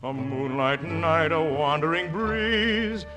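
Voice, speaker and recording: Romantic young prince, suave, slow, high baritone, orchestra behind, 1940s radio musical. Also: male voice, lively intonation, music in the background. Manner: suave, crooning baritone singing. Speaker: host. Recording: old radio broadcast with orchestra.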